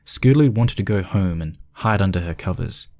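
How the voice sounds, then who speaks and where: A man's voice: low voice, lively intonation, fairly quick, a little mellow. A man reading a scripted horror story as its narrator, in a dramatic storytelling style.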